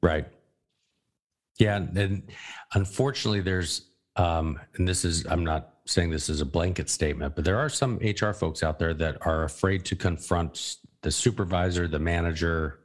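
Very deep-voiced male podcast host. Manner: agreeable, frequent short affirmations. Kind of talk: conversational interview.

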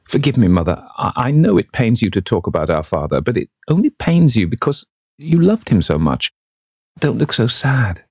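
A man's voice: medium pitch, big pitch swings, moderate pace, a little mellow, breathy edge, no echo, studio quality, pitched above the performer's own voice. A man voicing an eager, lovestruck young woman in a storybook reading, gushing and breathless. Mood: rapturous.

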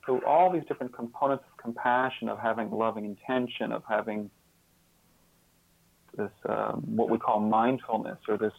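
A man's voice: lowish voice, fairly even intonation, moderate pace, gravelly, no room sound. A man speaking as a guest expert in an interview, reflective and earnest, often repeating words as he thinks. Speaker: guest author and social scientist. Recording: muffled phone-like narrow-band line.

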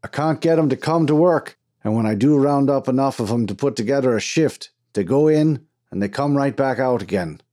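A man's voice: medium pitch, lively intonation, brisk, hardly any pauses, mellow, pitched above the performer's own voice, natural voice. A man voicing a blustering, bigoted mine foreman in an audiobook, cursing and exasperated. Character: gruff, bigoted, hot-tempered mine foreman. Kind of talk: dramatized audiobook character.

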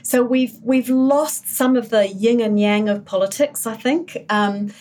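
A woman's voice: a little high, a little slow, darkish tone. A woman from New Zealand, chatting about politics as a panel guest, with wry jokes and many restarts. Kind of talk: casual political panel chat.

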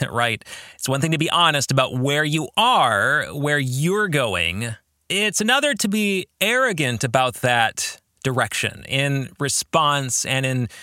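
A middle-aged man speaks as the host of a reflective book podcast. His voice is bright and closely recorded with a dry sound. He takes his time, pausing mid-sentence as he works through ideas in a measured, deliberate way. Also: mid-range voice, no echo. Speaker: podcast host and author discussing his own novel.

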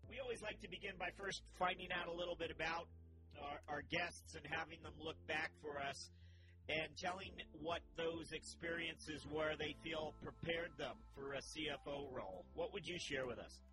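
A man hosting an interview podcast, welcoming his guest with quick, upbeat questions. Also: fairly high pitch, fairly even intonation, over music, poor audio. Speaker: podcast host.

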